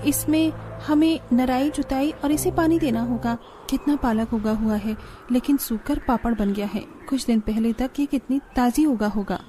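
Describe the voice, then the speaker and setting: A woman's voice: a little high, moderate pace, dark tone, slight background noise, close to an everyday voice. A woman voicing an earnest, dutiful young daughter-in-law, caring but firm, in a cartoon story. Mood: concerned. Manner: earnest, pleading. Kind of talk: Hindi cartoon story voice acting.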